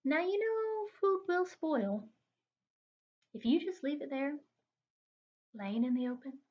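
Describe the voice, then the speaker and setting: An aggrieved, folksy storybook wolf, acted out by a woman, pitched rather high. He excuses himself in a chatty, mock-innocent tone, with expressive picture-book storytelling. The recording is a little dull and lo-fi. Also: slow pace, slight background noise. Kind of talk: expressive children's picture-book read-aloud.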